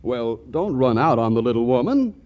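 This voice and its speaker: A man's voice: medium pitch, very animated, normal speed, a little mellow, muffled recording. A man announcing a 1940s radio advertisement in a folksy, scripted way that addresses listeners directly.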